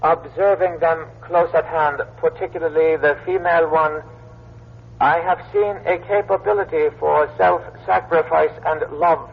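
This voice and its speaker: Husky, imperious alien envoy. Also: male voice, on the high side, steady tone, unhurried. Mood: formal, measured. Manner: stately, formal. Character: alien envoy testing Earthlings, formal and imperious. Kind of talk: old-time radio science fiction acting. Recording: muffled old radio drama recording.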